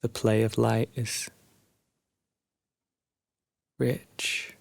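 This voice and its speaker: Very slow, low-voiced male meditation guide. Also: little pitch movement, gravelly, no room sound. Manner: soothing, hushed, unhurried with long pauses. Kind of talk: guided sleep meditation narration, scripted storytelling.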